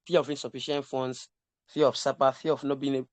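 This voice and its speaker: A man's voice: mid-range voice, little pitch movement, fairly quick, no echo. Male sales coach, emphatic and repetitive, dry close sound. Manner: repetitive, emphatic, checks in with listeners. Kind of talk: live online class teaching. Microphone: online call.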